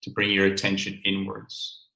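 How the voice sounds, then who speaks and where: A man with a Cork accent guides a webinar over a video call. His voice is fairly low, with a bright, forceful edge. He sounds calm and inviting, and the room around him rings with echo. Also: normal speed, vocal fry. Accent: Cork Irish. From Ireland. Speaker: workshop facilitator. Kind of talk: webinar presentation.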